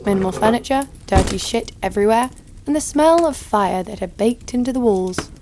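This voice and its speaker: A woman's voice: fairly high pitch, lively intonation, moderate pace, noisy background. A woman playing a hot-tempered young female fighter in an audio drama, blunt and sarcastic. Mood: wry, storytelling. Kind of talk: first-person audio drama narration and dialogue.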